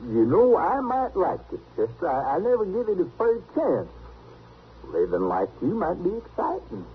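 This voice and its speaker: An actor playing a naive, stubborn, good-natured backwoods drifter with a rustic Southern drawl, earnest and artless, in old radio western style. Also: male voice, high voice, huge pitch swings, moderate pace, highs cut off. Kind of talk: 1950s radio western acting. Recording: band-limited, noisy old radio recording.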